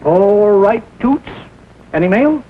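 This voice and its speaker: Lively, wry small-town doctor. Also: male voice, high-pitched, slow pace, phone-quality sound. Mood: brisk, wry. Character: weary, genial middle-aged small-town doctor man. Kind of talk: 1940 film comedy acting. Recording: thin, noisy 1940 film soundtrack.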